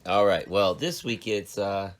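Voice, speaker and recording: A man's voice: fairly low pitch, unhurried. A man in his forties hosting a casual podcast chat, a bit nasal from allergies, joking and self-deprecating. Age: 40s. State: stuffed up, slightly nasal from allergies. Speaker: podcast host.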